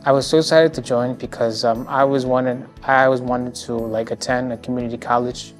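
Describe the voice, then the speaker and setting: A man's voice: medium pitch, steady tone, a little fast, faint music behind. A young man in his twenties telling about his program hesitantly, with many fillers. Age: 20s. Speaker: program student.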